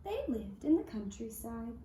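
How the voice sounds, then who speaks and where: Echoey room, woman storyteller, high voice, gentle singsong fairy-tale narration, faint background noise. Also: very animated, unhurried. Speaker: puppet show storyteller. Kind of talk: scripted fairy-tale narration with lullaby singing.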